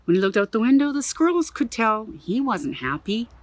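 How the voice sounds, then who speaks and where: A woman reading a picture book aloud to children as a museum storyteller, animated and chatty, with little asides. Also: on the high side, normal speed, no room sound.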